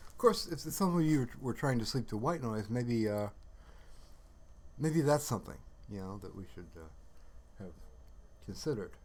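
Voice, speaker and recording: A man's voice: mid-range voice, expressive, measured pace, long pauses, darkish tone, slight background noise. A man casually rambling to livestream viewers in a playful, mock-earnest, musing way. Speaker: livestreamer hosting his own stream.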